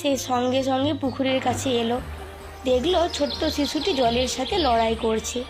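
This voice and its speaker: A woman narrates a children's cartoon story in a steady, gentle way. Her voice sits fairly high, and clear background music runs underneath the narration. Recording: music playing behind.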